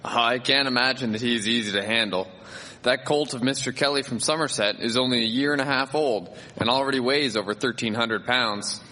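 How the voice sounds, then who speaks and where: A man's voice: mid-range voice, steady tone, brisk, pushed and bright, close to an everyday voice. A man playing a keen livestock farmer of the 1870s in a period radio drama, informative and formal. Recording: echoey hall reverb.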